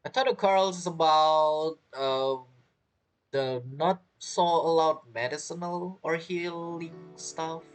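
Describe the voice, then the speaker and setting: A man's voice: on the high side, steady tone, takes it slowly, low-quality recording. A man giving casual, joking, reactive commentary as he streams a game. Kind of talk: casual commentary over gameplay.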